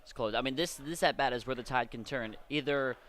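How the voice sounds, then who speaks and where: A man's voice: medium pitch, brisk, fairly bright tone. A man giving conversational analysis as a color commentator on a live baseball broadcast.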